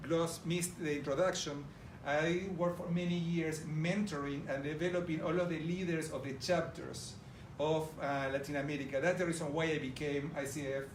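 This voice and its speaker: A man's voice: a little high, steady tone, moderate pace, few pauses. Argentine man presenting in an echoey room. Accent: Argentine Spanish. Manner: warm, enthusiastic, addresses the audience directly. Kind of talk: conference presentation to a live audience. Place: large room with an audience. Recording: roomy, distant audio with background noise.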